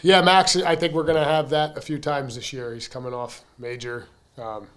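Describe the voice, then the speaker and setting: A man's voice: a little high, normal speed. A man in his thirties, a baseball coach answering questions at a postgame press conference, talking candidly with lots of fillers and bursts of emphasis into a desk mic. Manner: candid, conversational, frequent fillers, energetic emphasis. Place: press room in front of a sponsor backdrop. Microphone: desk mic on a stand.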